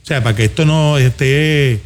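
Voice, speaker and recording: A man's voice: mid-range voice, moderate pace, a little hiss or hum. A man with a Puerto Rican accent speaking in a colloquial, conversational way as a talk show host, addressing listeners directly. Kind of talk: casual conversational commentary. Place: studio.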